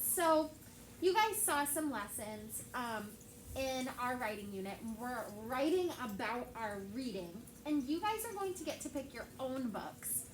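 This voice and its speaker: A woman's voice: high-pitched, big pitch swings, unhurried. Chatty teacher woman in an echoey classroom. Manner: chatty, asks the kids many questions, heavy use of "like". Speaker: elementary school teacher. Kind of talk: classroom read-aloud lesson. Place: classroom with children on a carpet. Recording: echoey classroom with background noise.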